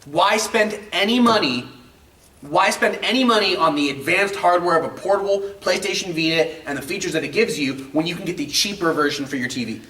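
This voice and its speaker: A man's voice: sits high, a little fast, sharp tone. A man co-hosting a casual video game chat, opinionated and emphatic in how he talks. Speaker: video game show co-host. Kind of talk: casual banter about video games. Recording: echoey room, distant mic.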